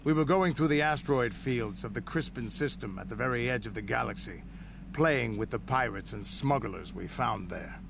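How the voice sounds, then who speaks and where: A gruff old-soldier game character, an alien warrior proud of his battles. His voice is gravelly and creaky, and he tells his tales in a deliberate, boastful way, with clear noise in the recording. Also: medium pitch. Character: proud gruff veteran alien warrior man recounting war stories. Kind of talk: dramatic scripted video game dialogue. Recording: game audio under steady noise.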